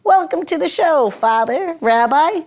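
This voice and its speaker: A woman's voice: high voice, lively intonation, measured pace, a little mellow. A woman hosting a podcast in a chatty, playful way, moving between banter and loosely scripted segments. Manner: playful, prone to chuckling. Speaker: podcast host.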